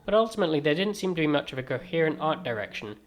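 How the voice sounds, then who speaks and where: A man's voice: fairly high pitch, sing-song, racing. A man with a transatlantic accent narrating a scripted game review in a wry, dry-humoured manner.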